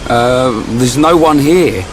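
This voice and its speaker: A man's voice: mid-range voice, slow, slight background noise, close to an everyday voice. A man with a British accent voicing a brash, teasing young sidekick and loyal friend in a video game. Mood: sceptical.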